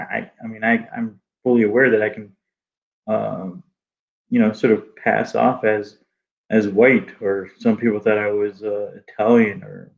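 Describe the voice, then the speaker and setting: A man whose first language is Arabic, speaking haltingly with many restarts as a guest in a casual interview. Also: lowish voice, creaky, a little mellow, highs cut off. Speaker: guest artist.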